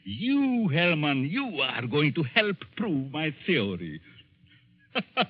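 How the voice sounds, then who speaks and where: An actor playing a sinister, gloating professor and murderous schemer in 1940s radio suspense style, theatrical, taunting and given to chuckling. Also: male voice, fairly high pitch, wildly swooping pitch, slow pace, exaggerated voice. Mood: sly, menacing. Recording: dull lo-fi 1940s radio broadcast.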